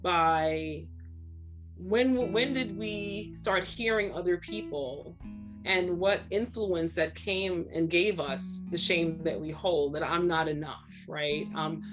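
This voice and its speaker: A woman in her forties speaks as a panel guest in a fairly low voice. She tells personal stories candidly and conversationally, often tagging her points with "right?". Soft plucked guitar plays faintly behind her. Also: slow pace. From Brooklyn and Trinidad. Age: 40s.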